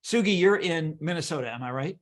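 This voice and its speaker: An American man from Kansas talking as an interview guest, animated and emphatic, often repeating his words as he explains. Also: on the high side, fairly quick. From Kansas, United States. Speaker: guest author and historian. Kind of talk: conversational interview.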